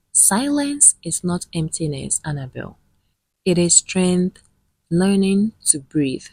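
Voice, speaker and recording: A woman narrating a scripted dramatic tale in a measured, solemn manner with dramatic pauses. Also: lowish voice, darkish tone, slightly rough, dry recording. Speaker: storyteller, narrator.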